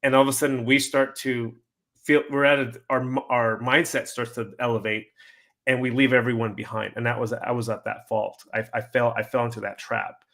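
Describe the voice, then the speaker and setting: Bright-voiced man, halting talker. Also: mid-range voice, little pitch movement. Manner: halting, repeating himself. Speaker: interview host. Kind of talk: casual chat.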